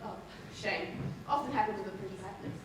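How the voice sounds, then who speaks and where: A woman's voice: mid-range voice, a little fast, natural voice. A woman playing the leader of a theatre company, encouraging and inquisitive in dramatic dialogue. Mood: effusive praise. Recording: echoey hall, distant, background noise.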